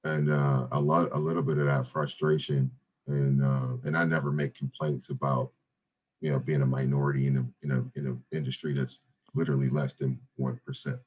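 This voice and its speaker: A man around forty with a deep voice, talking casually as a guest on an interview podcast, with many fillers and restarts. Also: level tone, fairly quick, a little mellow, husky. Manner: laid-back, many fillers and restarts, says "brother" and "right?". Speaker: real estate investor guest.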